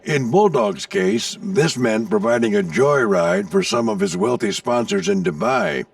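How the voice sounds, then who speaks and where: An actor playing a seasoned navy plane, a gruff, folksy mentor telling stories with authority in an animated video game. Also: male voice, high-pitched, measured pace, no echo, far from the mic, heavily acted. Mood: storytelling. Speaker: commanding instructor recounting past races.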